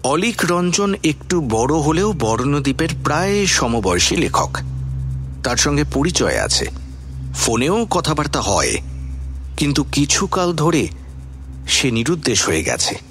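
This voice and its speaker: A man narrating a suspense story for a radio drama as a wry, composed storyteller with expressive delivery. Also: on the high side, unhurried, faint music behind.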